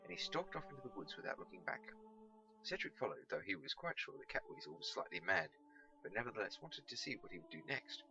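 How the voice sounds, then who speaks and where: A man reading a storybook aloud as scripted narration, in an expressive, dramatic manner. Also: a little high, fast talker, vocal fry, highs cut off, faint music behind. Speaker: amateur audiobook reader.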